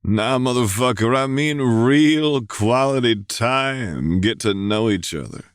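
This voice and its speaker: A man's voice: mid-range voice, slow, clear, resonant tone, dry recording, studio quality, natural voice. A man playing a laid-back, affectionate young stoner in an audio drama, with a lazy, slangy drawl. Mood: lazy, curious. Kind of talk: audio drama acting of fan fiction.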